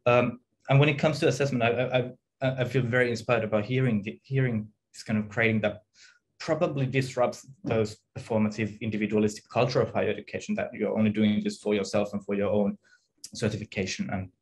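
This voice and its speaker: A man's voice: lowish voice, fairly even intonation, fairly quick, low-quality recording. A man, thinking aloud and often rephrasing as he puts a question in an academic seminar. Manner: reflective, hesitant, rephrasing often. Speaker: academic, audience member asking a question. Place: indoor room on a video call. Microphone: computer or headset mic on video call.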